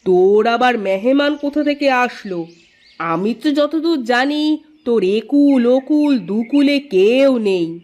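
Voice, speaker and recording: A woman's voice: sits high, expressive, a little slow, a little mellow, muffled recording. A woman voicing a spiteful, scheming neighbourhood aunt in a cartoon story, with theatrical, pointed delivery. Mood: nosy, sneering. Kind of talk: Bengali cartoon story dialogue.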